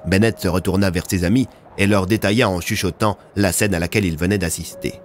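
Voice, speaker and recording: Un homme qui raconte une fiction audio, en narration dramatique écrite. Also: male voice, low-pitched, fairly even intonation, fast, faint music behind. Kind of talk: scripted dramatic story narration.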